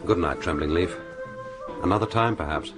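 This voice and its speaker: An actor playing a suave, dry, teasing spy in a radio drama, speaking with formal urbanity. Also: male voice, low voice, normal speed, over loud music, natural voice.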